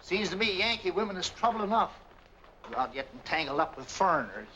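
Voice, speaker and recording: A man's voice: high-pitched, very animated, fairly quick, sharp tone, a little hiss or hum, exaggerated voice, rough recording. An actor playing a cranky, evasive male night clerk with folksy, grumbling American speech, in an old 1940s film. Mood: grumpy. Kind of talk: 1940s film noir acting. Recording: old film soundtrack with faint music.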